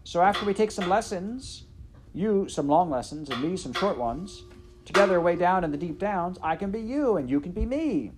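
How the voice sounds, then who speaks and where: A man voicing a loud, simple-minded servant girl in a storybook, shouting bluntly in clumsy grammar. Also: high-pitched, expressive, normal speed, background noise, pitched above the performer's own voice. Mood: loud reciting.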